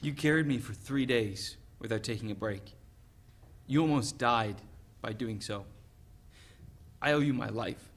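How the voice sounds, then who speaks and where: A man's voice: medium pitch, a little slow, long pauses. A young man playing an anxious but loyal condemned prisoner in a dramatic play reading, questioning and stammering. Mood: sombre, grateful. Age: young adult.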